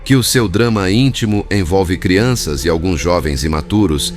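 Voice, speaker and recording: A man's voice: sits low, normal speed, soft background music. A man reading a spiritual book as a formal, literary scripted narration. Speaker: audiobook narrator.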